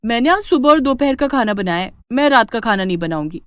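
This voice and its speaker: A woman's voice: fairly high pitch, animated, quick pace, background noise, close to an everyday voice. A woman voicing an assertive, resentful elder daughter-in-law, argumentative and insistent, in a cartoon family drama. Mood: annoyed.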